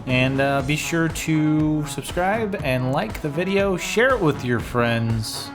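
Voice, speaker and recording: A man's voice: fairly high pitch, animated, slow, over music. A middle-aged man giving casual, informal commentary as a video host, rambling and thinking aloud into a close studio mic. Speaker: music producer and video host. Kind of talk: casual screen-share commentary. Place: small home studio room, indoors. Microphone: studio condenser on boom arm, close.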